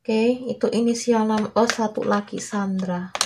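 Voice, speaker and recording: Hoarse woman giving a gentle tarot reading. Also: medium pitch, steady tone, noisy background. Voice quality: weak, small voice. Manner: soft, reassuring, drawn-out. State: hoarse from a cold. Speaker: tarot reader.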